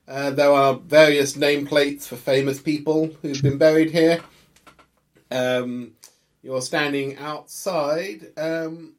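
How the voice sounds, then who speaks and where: Guy running a horror rpg session, voice a bit high, kinda halting, thinking out loud as he describes stuff. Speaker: game master. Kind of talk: narrating a tabletop horror game, improvised.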